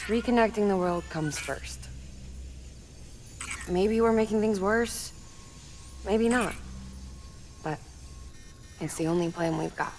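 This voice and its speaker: An actress playing a grieving young mother in a video game cutscene, speaking in a quiet, reflective, confiding way. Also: mid-range voice, slow, long pauses, soft background music, rough recording. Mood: earnest. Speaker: mother character.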